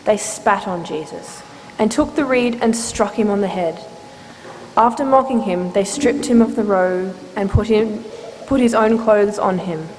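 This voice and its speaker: A woman giving a measured, solemn scripted narration of scripture as part of a dramatic reading. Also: mid-range voice, darkish tone. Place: inside a church, live reading. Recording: reverberant church hall, faint noise.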